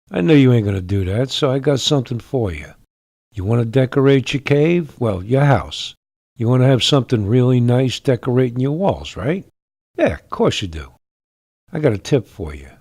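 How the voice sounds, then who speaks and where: A man's voice: mid-range voice, darkish tone, no room sound, studio quality. A man playing a streetwise wise-guy tipster in an advertisement, slangy and confiding. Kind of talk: comic character advertisement.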